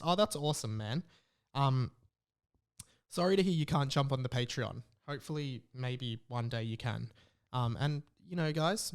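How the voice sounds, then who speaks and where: A man with an Australian accent hosts a podcast in a voice pitched a little above average. His style is casual and rambling. He reads out messages and keeps interrupting himself with side comments. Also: smooth. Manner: rambling, interrupts his own reading with asides. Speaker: podcast host. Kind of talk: casual chat, reading out listener messages.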